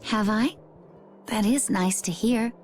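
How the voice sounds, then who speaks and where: An actress playing a composed young woman adventurer in a video game, with measured, polished and subtly teasing delivery. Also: medium pitch, soft background music, natural voice. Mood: coolly teasing. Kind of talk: video game cutscene acting.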